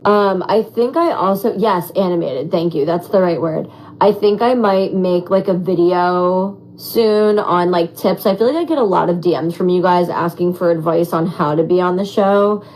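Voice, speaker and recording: A raspy young woman answering fan questions in a casual, animated chat, full of "like" and "um". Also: lowish voice, moderate pace, slight background noise, low-quality recording. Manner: animated, chatty, heavy on fillers like "like" and "um". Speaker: former reality show contestant.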